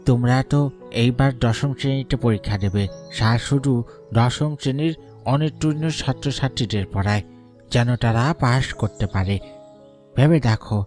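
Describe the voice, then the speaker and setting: A man's voice: medium pitch, darkish tone, lightly acted. Man acting a persuasive cartoon school peon. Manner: explaining, persuasive. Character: school peon uncle luring failed students with an offer. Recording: background music under the voice.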